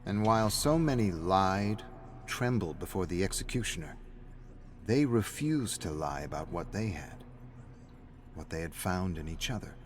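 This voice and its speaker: A man with a low voice narrates a dramatic story as written. His reading is scripted and theatrical, with faint music playing behind him. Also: animated, moderate pace. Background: faint background music.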